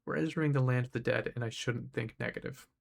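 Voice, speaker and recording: A man's voice: medium pitch, fairly even intonation, quick pace, gravelly. A man voicing a level-headed, supportive young girl adventurer in an audiobook, earnest and direct. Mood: skeptical.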